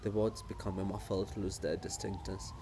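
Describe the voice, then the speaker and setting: A man's voice: sits low, flat delivery, moderate pace, dark tone, slight background noise. A man narrating a play, reading scripted stage directions as part of a dramatic reading.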